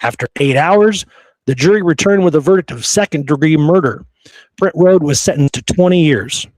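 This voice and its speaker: Higher-voiced man telling history, close dry sound. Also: moderate pace, a little mellow, dry recording, low-quality recording. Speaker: history show host. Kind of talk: conversational storytelling from researched notes.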